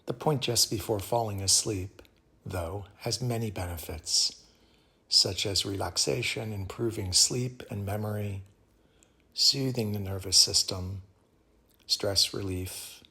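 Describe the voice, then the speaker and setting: Low-voiced man guiding a meditation. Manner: calm, soothing, measured phrasing with pauses. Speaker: yoga teacher guiding meditation. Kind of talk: guided meditation.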